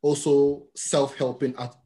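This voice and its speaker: A man's voice: mid-range voice, slow pace. Man, yoruba native, podcast host, casual chat, easygoing, filler-heavy. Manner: relaxed, friendly, repeats words with fillers.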